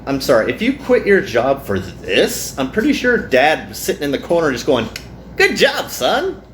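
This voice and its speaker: Animated man commentating in an echoey room. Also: mid-range voice, moderate pace. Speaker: gaming video host. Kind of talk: playful game playthrough commentary. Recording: echoey room with faint noise.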